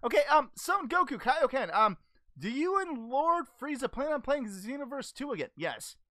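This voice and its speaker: A cheerful, simple-minded martial-arts hero from a cartoon parody, acted out by a performer. The high voice swings widely from muttering to exclaiming, blunt and halting as it reads fan questions. The sound is close and dry. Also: big pitch swings, bright, forceful tone, dry recording, full character voice. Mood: cheerful, casual. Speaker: host reading fan questions. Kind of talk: in-character fan question-and-answer show.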